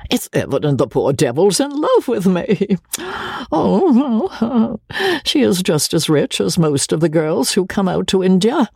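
A man's voice: sits high, very animated, a little slow, vocal fry, a little mellow, husky, dry recording, studio quality, voice pushed up, exaggerated voice. A man voicing a pompous, bashful colonial official in a storybook, blustering, giggly and exclamatory. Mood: smug.

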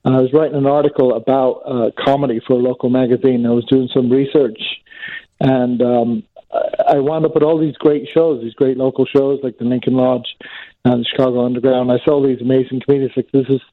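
A man's voice: mid-range voice, flat delivery, a little fast, dry recording. Male comedian calling in, thin phone-line sound, rambling style. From Northern Ireland and England. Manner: self-deprecating, rambling with fillers. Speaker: comedian guest. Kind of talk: casual phone interview.

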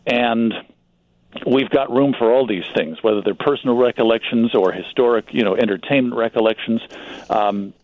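A man's voice: mid-range voice, normal speed, dry recording, narrow, tinny audio. American man telling anecdotes in a dry studio. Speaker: magazine publisher and writer, radio guest. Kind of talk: anecdotal radio interview talk.

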